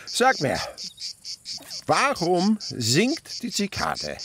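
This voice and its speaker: A man's voice: high-pitched, very animated, drawn-out words, vocal fry, a bit bright, exaggerated voice. An actor in a radio play as a curious, philosophizing father who studies insects, speaking in an eager, didactic, declamatory way. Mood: enthusiastic, musing. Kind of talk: German radio play acting.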